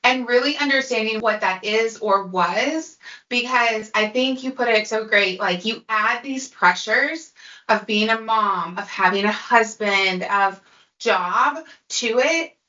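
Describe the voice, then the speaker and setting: A woman podcast host, chatty and animated, talking in a casual conversation. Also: fairly high pitch, slow pace, reverberant, dull audio.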